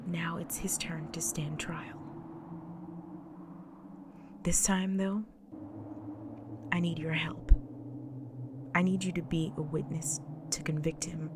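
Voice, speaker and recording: A woman playing a grave, devoted vampire wife in an intimate audio roleplay, speaking solemnly to the listener in an often breathy, whispered delivery. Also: low voice, fairly even intonation, takes it slowly, pauses often, noisy background. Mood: solemn, resolute.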